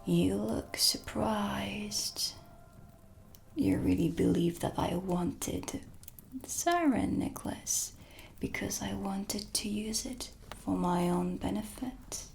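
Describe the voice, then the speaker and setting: A woman playing a proud, mysterious dark witch in a whispered cinematic ASMR roleplay, with a breathy, hushed, deliberate delivery. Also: medium pitch, a little hiss or hum. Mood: coolly proud. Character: self-assured dark witch, mysterious and proud, in her shop. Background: music, dark ambience.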